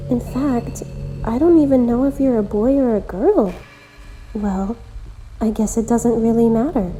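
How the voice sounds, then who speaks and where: A lonely, obsessive game girl, acted by a woman, speaks in a highish, very soft and mellow voice over prominent music. She addresses the listener gently and intimately, with sweet menace, like a self-aware visual novel character. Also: rough recording. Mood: coy, awkward. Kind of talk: visual novel character monologue, amateur voice acting.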